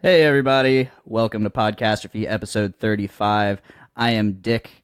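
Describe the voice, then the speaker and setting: A man in his twenties with a fairly low voice hosts a casual podcast. He tells stories loosely and jokingly, with some swearing. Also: steady tone, moderate pace, clear, resonant tone. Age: 20s. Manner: joking, profane. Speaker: podcast co-host. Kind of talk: casual chat and storytelling.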